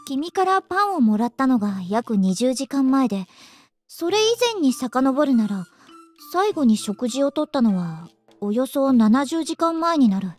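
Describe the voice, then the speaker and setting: An eccentric female senior student from a Japanese visual novel. She is earnest and absent-minded, with a high voice that moves quickly and freely in pitch. Faint game music plays behind her. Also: big pitch swings, darkish tone. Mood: matter-of-fact.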